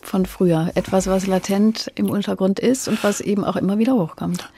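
A woman's voice: mid-range voice, fairly even intonation, brisk, dark tone, slightly rough. A woman interviewing a writer, putting considered questions in a literary conversation.

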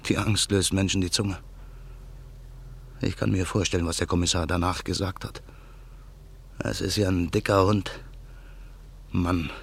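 An actor playing an embittered man who waits through the night for revenge, speaking his inner monologue slowly and in a hushed voice in a radio play. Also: low-pitched, steady tone, gravelly, husky, exaggerated voice. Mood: brooding. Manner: slow, brooding, hushed. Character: embittered man awaiting revenge, male adult. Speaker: narrator and main character.

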